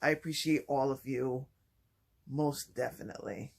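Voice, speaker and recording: A man talking softly and haltingly in a personal vlog, with many pauses and restarts. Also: steady tone, vocal fry. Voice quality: low voice. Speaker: vlogger. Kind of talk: personal vlog, reflective talk.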